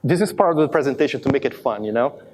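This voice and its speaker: A man from Brazil in his thirties with a strong Brazilian accent, giving a lively live demo talk at a conference, with many restarts and self-deprecating asides. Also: medium pitch, rapid-fire, a little hiss or hum, low-quality recording. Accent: Brazilian Portuguese. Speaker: conference speaker. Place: large conference hall with audience.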